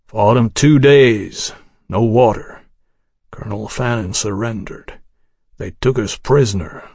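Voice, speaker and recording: A man's voice: on the low side, unhurried, gravelly, darkish tone, no echo. A man voicing a grim, weary, menacing in an audiobook, with a dry, toneless, terse delivery. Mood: bitter, grim.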